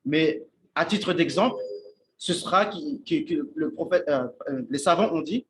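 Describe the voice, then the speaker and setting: A man's voice: a little high, a bit bright, poor audio. A man giving an informal religious lesson at a shared meal with friends, thinking aloud with many restarts and often checking in with his listeners. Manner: halting, thinks aloud, repeats and restarts words; checks in with listeners, asks "d'accord?". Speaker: Islamic teacher giving a talk on Arabic.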